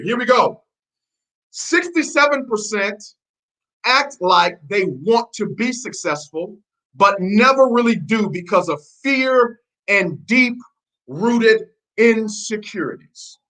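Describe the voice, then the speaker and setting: A man's voice: sits high, bright, forceful tone, poor audio. A man with an accent shifting between American and Nigerian, giving an emphatic, repetitive motivational network marketing training. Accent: mix of American and Nigerian. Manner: emphatic, repetitive, preachy, quizzing listeners.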